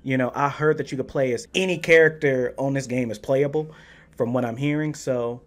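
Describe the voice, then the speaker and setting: A man's voice: medium pitch, steady tone, brisk. A man with an American accent giving slangy, profane, casual reaction commentary as a video host. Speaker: YouTube host reacting to a game trailer. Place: indoor gaming setup with desk. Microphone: microphone on boom arm, close.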